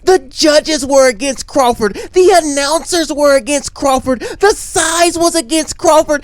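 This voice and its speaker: A woman with a high voice gives emphatic opinion commentary, hammering out repeated declarations. The recording is close and dry. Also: no echo.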